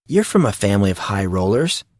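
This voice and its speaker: A man voicing a curious, earnest fourteen-year-old high school boy with a questioning, hesitant way of talking. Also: sing-song, fairly quick, darkish tone, dry recording, clean studio sound. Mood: puzzled.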